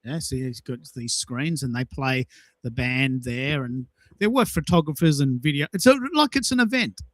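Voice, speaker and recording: A man's voice: mid-range voice, expressive, moderate pace, a bit bright, no echo. A man hosting a casual audio chat, telling personal anecdotes in an emphatic way and repeating himself for effect. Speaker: host of a social audio show.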